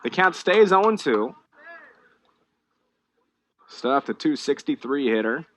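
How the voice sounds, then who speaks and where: An American man calling live baseball play-by-play, conversational between pitches and more animated on the action. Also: slow. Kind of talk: live sports commentary.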